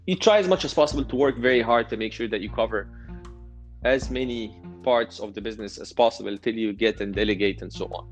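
A man answering interview questions as a startup founder, hesitant and often repeating words as he restarts. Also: fairly low pitch.

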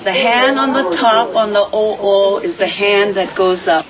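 A woman teaching a hula class outdoors, giving brisk, encouraging directions. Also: mid-range voice, a loud crowd. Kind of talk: instructing a dance class. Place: outdoors on a lawn. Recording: muffled, distant, music behind.

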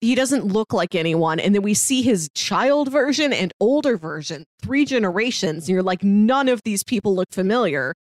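A woman hosting a podcast, chatting casually and in an animated way about a TV series. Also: mid-range voice, normal speed, hardly any pauses, dry recording, clean studio sound. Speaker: podcast host. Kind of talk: casual chat discussing a TV series.

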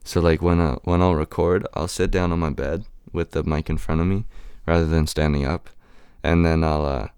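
Young male rapper, deep raspy voice, hesitant and filler-heavy, dry close recording. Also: flat delivery, darkish tone, no room sound. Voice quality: raspy and deep right now. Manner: halting, many "um" and "like" fillers. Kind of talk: casual interview answers.

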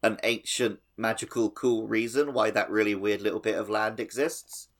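A man with a fairly low voice co-hosts a gaming podcast. His talk is casual and conversational. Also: fairly even intonation, moderate pace. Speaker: podcast co-host. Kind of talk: casual chat about a video game.

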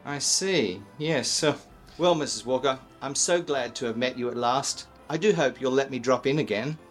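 An actor playing a friendly, charming gentleman in a radio drama, genial and polite. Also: medium pitch, normal speed, soft background music, close to an everyday voice. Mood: courteous. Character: friendly, charming gentleman neighbour courting a mother. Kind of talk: old-time radio drama acting.

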